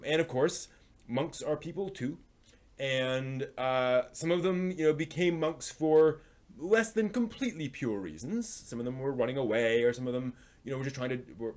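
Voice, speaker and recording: Halting man explaining casually. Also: mid-range voice. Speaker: live stream host. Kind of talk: casual book review talk, explanatory.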